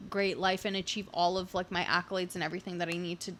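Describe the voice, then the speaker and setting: A woman's voice: on the low side, level tone, quick pace, fairly bright tone, a little hiss or hum. A young adult woman, a comedian guest on a podcast, chatting casually and leaning heavily on "like" as a filler. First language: not English. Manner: chatty, filler-heavy with frequent "like". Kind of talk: casual chat.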